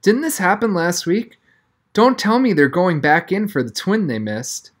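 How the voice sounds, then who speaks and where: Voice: medium pitch, lively intonation, unhurried, voice pushed up. A reader voicing a bemused tank crewman, an adult man with a wry, surprised manner, in an audiobook story. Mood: bewildered.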